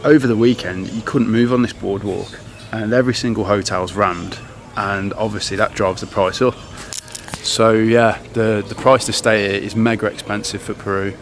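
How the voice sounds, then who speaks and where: A man's voice: lowish voice, fairly even intonation, normal speed. A young man chatting casually to a handheld camera as a travel vlogger, rambling with lots of fillers. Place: outdoors in towns and on the road. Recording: outdoor camera audio with noise.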